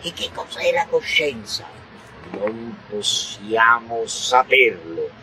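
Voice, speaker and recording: A man declaims a poetic text in a theatrical manner. His delivery is slow and halting, with stretched words and heavy emphasis, in a bright, forceful tone. Faint noise sits behind the radio recording. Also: medium pitch, very animated. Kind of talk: theatrical dramatic poetry recitation. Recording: noisy radio broadcast recording.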